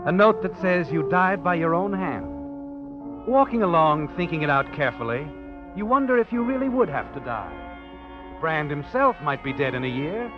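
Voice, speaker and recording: Ominous, high-pitched male suspense narrator. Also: very animated, normal speed. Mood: sinister, insinuating. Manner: insinuating, theatrical. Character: ominous, taunting male narrator addressing the protagonist. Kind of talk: 1940s radio suspense narration. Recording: muffled old radio recording, music behind. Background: dramatic music.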